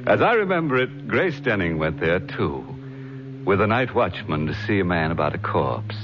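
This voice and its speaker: An actor playing a macabre, teasing horror host, narrating an old radio mystery in a droll, drawn-out way. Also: male voice, deep voice, big pitch swings, noisy background. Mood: gleefully ghoulish. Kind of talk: 1950s radio mystery narration, tongue-in-cheek. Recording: dull old radio broadcast.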